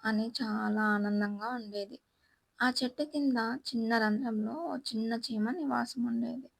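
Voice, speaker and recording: A woman's voice: on the high side, steady tone, unhurried, gravelly, no echo. A woman storyteller reading a scripted children's moral tale as narrator.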